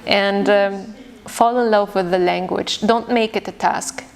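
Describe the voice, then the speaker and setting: A young woman from Tunisia who speaks English as a second language after Arabic, talking as a guest teacher in a casual interview, thoughtful and pausing often to restart her sentences. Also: mid-range voice, steady tone, gravelly. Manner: thoughtful, with frequent hesitations and restarts.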